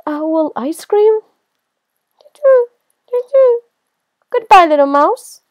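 A woman's voice: extremely high pitch, very animated, takes it slowly, no room sound, muffled recording, voice pushed up, exaggerated voice. A woman voicing a silly, easily frightened owl in a children's storybook, with a hooting, exclamatory delivery. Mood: startled.

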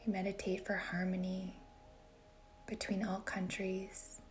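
A woman guides a meditation in a soft, breathy near-whisper at a medium pitch. She speaks very slowly and deliberately, leaving long pauses between short phrases. Her intonation stays flat and even, with a gentle, soothing delivery.

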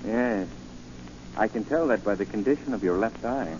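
An actor playing a decisive gentleman detective, formal and articulate, in 1940s radio drama style. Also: on the low side, lively intonation, normal speed, natural voice. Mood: assured, decisive. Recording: muffled, lightly noisy 1940 radio recording.

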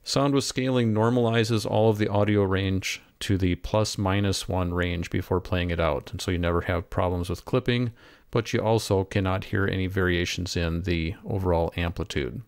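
A man narrating a software tutorial as an instructor, in a measured, step-by-step way. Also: sits low, steady tone, smooth, no room sound. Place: close, dry voice-over for screen recording.

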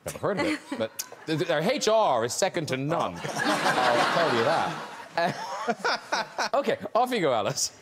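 Teasing male talk show host, wildly lively pitch. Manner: quick, mocking asides with frequent laughter. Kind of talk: teasing studio banter. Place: TV studio with a live audience. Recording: loud studio audience and room noise.

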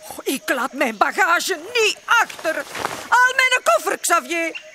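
A woman's voice: very high-pitched, sing-song, background noise, heavily acted. A woman voicing a vain, whining, imperious wife from a comic book read aloud. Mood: bossy, whining. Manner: whiny, imperious, drawn-out.

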